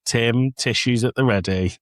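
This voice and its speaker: A man's voice: mid-range voice, moderate pace, no room sound. A man co-hosting a podcast, chatting casually and reading summaries aloud in a jokey, playful way. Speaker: podcast co-host.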